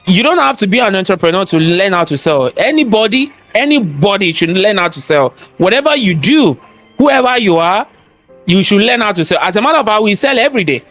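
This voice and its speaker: A man with a strong Nigerian accent and a high voice speaks as an interview guest. He talks in a motivational, sermon-like way, repeating key phrases for emphasis and throwing out rhetorical questions. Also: very animated, fairly quick, a bit bright, faint music behind. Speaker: guest, cybersecurity expert and entrepreneur. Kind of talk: interview conversation, motivational talk.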